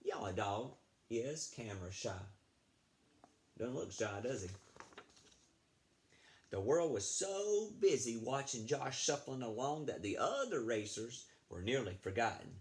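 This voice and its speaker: A man reading a picture book aloud to children in an animated, chatty way, breaking off to ask them questions. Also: medium pitch, measured pace, long pauses. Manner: animated, chatty, asks the young listeners questions. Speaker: children's read-aloud storyteller, school librarian.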